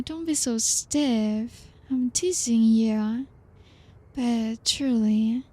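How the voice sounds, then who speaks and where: A woman voicing a lonely, seductive female vampire in an ASMR roleplay, speaking softly with teasing laughs and dropping into whispers. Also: on the high side, no room sound. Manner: slow, teasing and coaxing, soft-spoken with soft laughs; drops into whispers.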